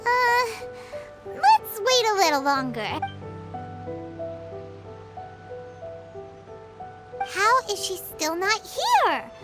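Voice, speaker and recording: A woman voicing a small, chirpy, excitable fairy companion girl in a video game, with a squeaky childlike voice. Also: takes it slowly, loud music behind the voice, heavily acted. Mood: puzzled. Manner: exclamatory, expressive.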